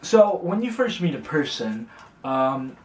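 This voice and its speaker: A man's voice: fairly high pitch, echoey room. Chatty young man, from China, distant mic. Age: young adult. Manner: relaxed and chatty, with many fillers and slow, careful repetition of example words. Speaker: language teacher. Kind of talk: casual beginner language lesson.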